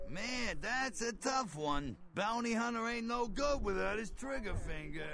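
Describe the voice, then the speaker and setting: A man's voice: sits high, animated, a little slow, gravelly, music in the background, full character voice. An actor playing a sleazy, folksy middle-aged bail bondsman in a video game, with slangy, blunt talk. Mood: dismayed, griping. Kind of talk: video game character dialogue.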